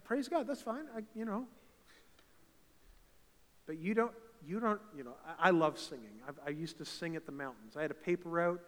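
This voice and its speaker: A fervent male pastor from eastern Canada preaches with a big, slightly high voice, and his words ring out through a reverberant church hall. He swells from conversational talk to loud, emphatic peaks. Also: animated, moderate pace. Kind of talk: sermon. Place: church sanctuary.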